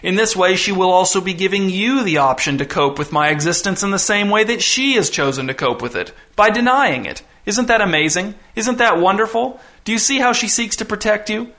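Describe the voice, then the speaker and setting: Creepy giddy visitor guy, a man putting it on, bright voice, kinda high. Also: expressive, a little fast, few pauses, full character voice. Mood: gleeful. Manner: gleeful, insinuating, theatrical. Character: jolly, giddy, menacing male visitor.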